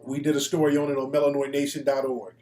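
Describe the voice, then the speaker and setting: A man's voice: medium pitch, completely flat delivery, quick pace, mellow. An American man hosting a casual, opinionated live talk show, speaking bluntly with slang and profanity. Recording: echoey room.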